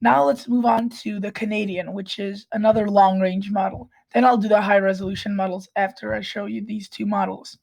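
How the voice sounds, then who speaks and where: Hoarse, raspy woman with a cold; level, steady weather explainer, clears her throat often. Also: mid-range voice, a little fast, hardly any pauses, poor audio. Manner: frequent restarts and throat clearing. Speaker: weather vlogger. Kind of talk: casual weather forecast walkthrough.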